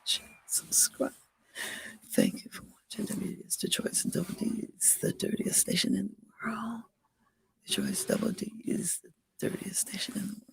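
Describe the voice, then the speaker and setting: A hoarse, raspy-throated host of a casual livestream show, repeating station call-outs and coaxing viewers to like and subscribe. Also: medium pitch, fairly even intonation, normal speed, no room sound, whispered, poor audio.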